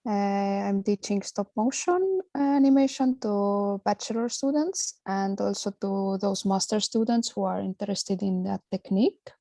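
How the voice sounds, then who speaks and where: A woman talks slowly and hesitantly through a video call, pausing on many fillers. Her voice is mellow and soft, and the sound is dry and close. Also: medium pitch, no echo. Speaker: stop motion teacher and project coordinator. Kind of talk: online info session talk.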